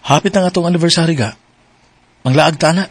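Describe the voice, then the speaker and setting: A man's voice: a little high, fairly quick, husky, dry recording, faint music behind. An actor playing an earnest young man courting a woman in a radio drama, speaking sincerely and conversationally. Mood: questioning.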